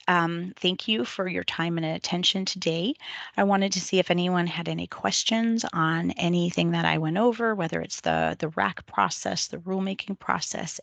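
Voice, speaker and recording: A woman presenting to an online committee meeting, her voice a little weak as she gets over a cold, speaking in a measured way with frequent ums. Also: on the low side, vocal fry, a bit bright, no room sound.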